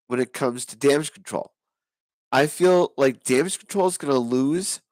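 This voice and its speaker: A hoarse man giving casual, rambling wrestling predictions on his own channel, with many restarts and fillers. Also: mid-range voice, no room sound, low-quality recording. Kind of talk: casual solo predictions talk.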